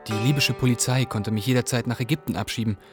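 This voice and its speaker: A man's voice: mid-range voice, steady tone, fast talker, music in the background, natural voice. A man acting as a young Iraqi refugee, earnestly narrating his story in a radio play. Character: young male Iraqi refugee telling his hard odyssey.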